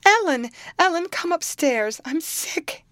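A woman's voice: expressive, slow, a bit bright, dry recording, clean studio sound, heavily acted. A woman voicing a distraught, impulsive teenage girl who pleads breathlessly. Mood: pleading. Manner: high, breathless, sobbing pleas.

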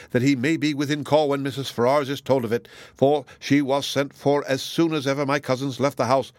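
A man's voice: mid-range voice, no room sound, lightly acted. A man voicing a chatty, good-hearted older matron in an audiobook, gossiping in a rambling, exclamatory and indignant way. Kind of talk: audiobook character dialogue.

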